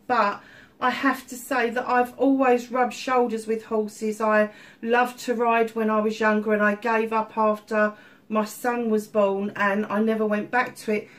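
A middle-aged woman giving a casual tarot reading at home, a little stuffy-nosed, chatty with many ums. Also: fairly high pitch. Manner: chatty, warm-hearted asides with many ums. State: slightly stuffy-nosed from illness. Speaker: tarot reader. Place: home room at a table of crystals.

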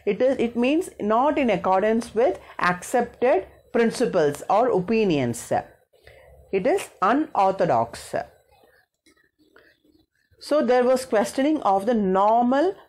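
A woman's voice: fairly high pitch, darkish tone. A woman with a South Indian accent giving an explanatory school history lesson, partly read out, repeating and rephrasing key points in a didactic way.

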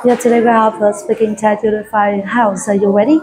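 A woman's voice: mid-range voice, level tone, fairly quick, background noise. A woman with a Vietnamese accent, an English teacher prompting a young pupil with short, simple questions and praise.

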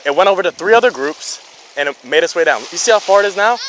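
A man's voice: high-pitched, animated, fast talker, a bit bright, a little hiss or hum. A man officer on a beach arguing insistently during a stop, repeating himself and cutting in, recorded on his body camera. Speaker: wildlife officer. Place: outdoor beach.

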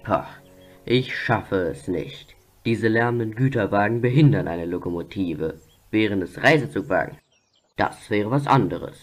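A man's voice: fairly low pitch, measured pace. A man voicing a proud, grumbling, self-important steam locomotive in a pompous, complaining way for a cartoon fan dub. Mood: defeated, grumbling.

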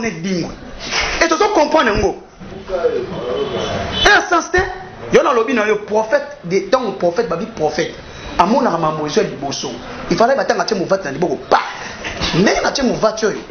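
A man's voice: sits high, noisy background. A young man with a Congolese accent, arguing emphatically and repetitively in a casual interview. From Democratic Republic of the Congo. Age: young adult. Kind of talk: animated casual debate. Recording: dull, roomy sound, voices around.

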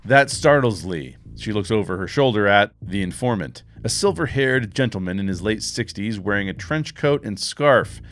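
A man in his forties reading screenplay action lines in a measured, wry way into a studio microphone in a home podcast studio. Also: fairly low pitch, animated, a bit bright. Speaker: host and narrator. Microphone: studio microphone with headphones.

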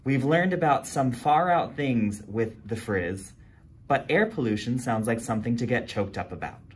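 A man reads a picture book aloud for young kids, his pitch swinging widely as he brings the story to life. His voice has a husky edge to it, and he leaps upward for each character's line. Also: moderate pace. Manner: animated read-aloud, jumps high for character quotes. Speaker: storytime reader.

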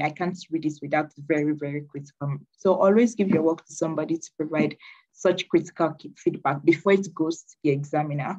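A woman's voice: lowish voice, darkish tone. A woman whose first language is Yoruba gives earnest study advice as a guest speaker on a video call, repeating her key points. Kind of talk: webinar advice talk. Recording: dull lo-fi video call audio.

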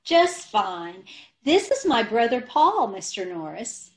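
Daffy wife, female actor, guileless and scatterbrained, slow, lilting, animated radio comedy delivery. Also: a little high, exaggerated voice. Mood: innocent, breezy. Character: scatterbrained, guileless wife who misunderstands everything. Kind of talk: old-time radio comedy acting. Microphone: video call.

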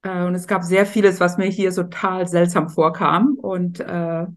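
A woman's voice: fairly low pitch, little pitch movement, moderate pace. A middle-aged woman from Germany talking reflectively as a guest in a casual discussion. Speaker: guest, missionary NGO leader.

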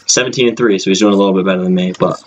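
A man's voice: low voice, fairly even intonation, rapid-fire, highs cut off, background noise. A man giving casual, unscripted gameplay commentary in a rambling, hesitant, informal way. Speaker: gaming video creator. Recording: loud game audio bleeding into mic. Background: loud video game sound from a TV.

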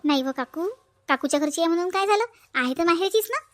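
A woman's voice: extremely high pitch, expressive, fast talker, fairly bright tone. A woman voicing a young married daughter in a cartoon, affectionate and earnestly coaxing. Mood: assuring. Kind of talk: amateur animated family comedy acting.

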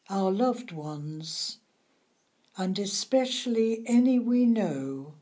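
A woman leads church prayers at a slow pace. Her voice is soft-edged and mellow, sitting at a middling pitch. She reads each petition deliberately and reverently. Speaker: lay prayer leader. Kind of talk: church intercessory prayer, read aloud.

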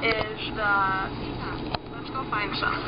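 Young woman vlogging in very loud noise. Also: medium pitch, flat delivery, slow. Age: young adult. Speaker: student abroad. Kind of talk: casual vlog. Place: outdoors in a city park. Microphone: camera at arm's length. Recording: very noisy, muffled outdoor replay.

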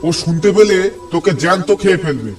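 Timid young village fisherman played by a man, high voice, anxious and pleading, obedient horror-comedy cartoon sidekick. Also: lively intonation, fast, over music, lightly acted. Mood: fearful. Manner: fearful, pleading.

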